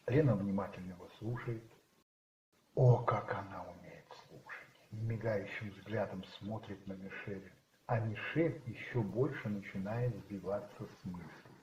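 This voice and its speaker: A man narrating a radio play as dramatic literary storytelling, musing and rhetorical, with dramatic pauses. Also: fairly low pitch, a little mellow, slight background noise. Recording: roomy, slightly muffled, with music behind.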